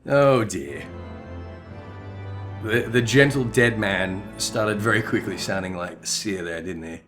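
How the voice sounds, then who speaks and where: A man's voice: on the low side, takes it slowly, a bit bright, faint music behind. A man commentating casually on a game playthrough, with informal, joking asides. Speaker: gameplay commentator.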